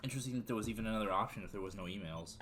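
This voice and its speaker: A man's voice: on the low side, very fast, fairly bright tone, slight background noise. A man giving casual, wry commentary as he plays a video game, often muttering to himself. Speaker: let's play video game host.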